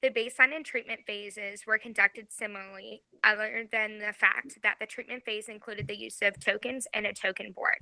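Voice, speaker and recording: A woman in her twenties reading a class research presentation from a script, careful and even. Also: mid-range voice, normal speed, gravelly, few pauses, no room sound, poor audio. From Georgia, USA. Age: 20s. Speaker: graduate student presenter.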